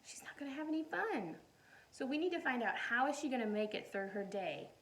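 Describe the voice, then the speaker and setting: A woman's voice: sits high, expressive, a little fast, a bit bright. A woman reading a picture book aloud expressively to young children, adding simple explanations as a teacher would.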